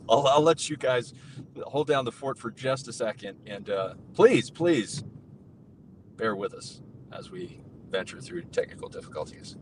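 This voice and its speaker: A man's voice: expressive, unhurried. A man hosting a talk show, chatting in a rambling, jokey way from the passenger seat of a car. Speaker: host. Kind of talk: casual chat. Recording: distant mic with road noise. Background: car and road rumble.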